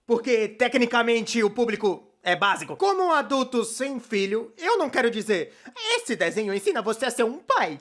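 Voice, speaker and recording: A narrator with a Brazilian accent gives scripted cartoon commentary in an expressive, conversational, comically emphatic way. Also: medium pitch. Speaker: narrator of a cartoon opinion video. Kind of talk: scripted animated commentary video narration, fan dub.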